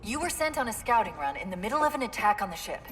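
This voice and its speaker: An actress voicing a female artificial intelligence who gives crisp, urgent callouts. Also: medium pitch, sing-song, quick pace, a little hiss or hum. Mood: dry. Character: female ship AI, quick and urgent. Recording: distant voice, loud music behind.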